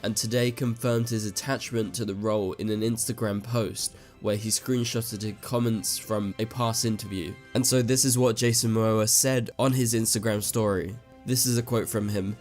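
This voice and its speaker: A man with a lowish voice hosts his own online show, talking through news in a casual, chatty fan style full of asides. His voice sounds a little off, as if still recovering from an illness. Soft music plays faintly underneath. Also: fairly even intonation, normal speed, hardly any pauses. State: voice slightly off after an illness. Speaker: YouTube show host. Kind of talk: casual news commentary to camera.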